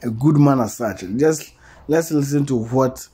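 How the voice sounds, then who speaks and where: A man giving informal news commentary to introduce an interview, in emphatic, repetitive, halting phrases. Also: medium pitch, warm tone, breathy edge.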